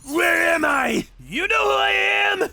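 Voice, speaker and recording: A man voicing a brash, unhinged young killer in a manga story, frantic and shouting. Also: extremely high pitch, lively intonation, slow pace, creaky, slight background noise, heavily acted. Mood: defiant, frantic.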